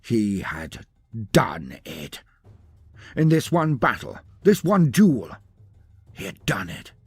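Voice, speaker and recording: A man with a lowish, raspy voice narrates a fantasy tale with theatrical emphasis. His pitch rises and falls dramatically across short, weighted phrases. The sound is close and dry. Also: huge pitch swings, slow, no echo. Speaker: storyteller narrator.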